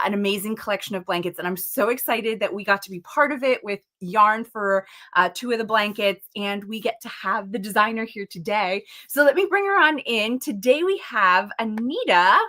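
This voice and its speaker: A woman's voice: mid-range voice, bright, forceful tone. Upbeat woman as livestream host, chatty and welcoming. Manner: bubbly and chatty, sometimes muttering while setting up.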